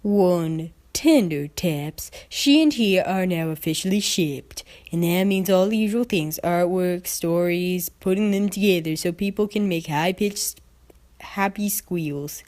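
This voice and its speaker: A formal male pony official, acted by a woman reader. He talks in a measured, matter-of-fact manner, as a cartoon storybook character. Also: lowish voice, a little mellow, close to an everyday voice. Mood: businesslike.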